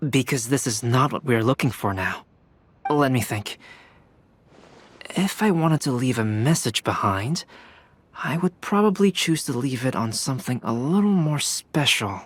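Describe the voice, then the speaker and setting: An actor playing a cool, detached young scholar in a video game, soft, measured and thoughtful. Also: male voice, medium pitch, animated, a little hiss or hum, natural voice. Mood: pensive. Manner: measured, analytical.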